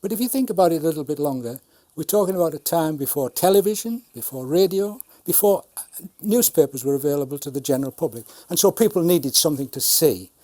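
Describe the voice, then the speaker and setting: A man's voice: on the high side, expressive, fast talker, warm tone. A man in his seventies, a retired puppeteer, giving an explanatory talk about his craft. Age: 70s. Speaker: retired Punch and Judy puppeteer.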